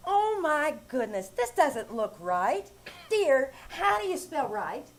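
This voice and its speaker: A woman's voice: high-pitched, very animated, takes it slowly, heavily acted. A woman playing a scatterbrained, cheerful young wife in a live old-time radio comedy, chirpy and prone to verbal slips. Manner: chirpy, malaprop-prone, giggly. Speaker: actor playing Jane. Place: live theater stage.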